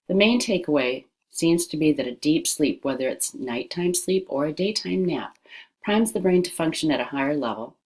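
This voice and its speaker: A woman reading an educational text aloud as scripted narration, with careful, deliberate enunciation. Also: low voice, darkish tone, poor audio.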